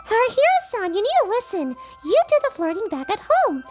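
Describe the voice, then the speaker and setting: A woman playing a bossy, earnest young female coworker with a girlish, scolding, excitable delivery in a comic manga dub. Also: very high-pitched, a little fast, over music, full character voice.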